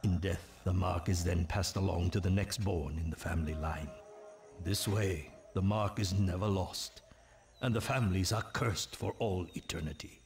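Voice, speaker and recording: A very low-voiced, grave storyteller of dark legends for a fantasy video game. He delivers each phrase with portentous, theatrical weight, and music plays prominently behind him. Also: moderate pace, gravelly. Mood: dark, solemn. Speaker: narrator. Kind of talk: dramatic scripted fantasy game narration.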